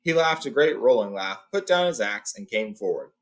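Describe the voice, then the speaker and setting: A man hosting a read-along, telling and reading a story in an informal, expressive way. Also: medium pitch, moderate pace. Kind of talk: storybook reading with casual retelling.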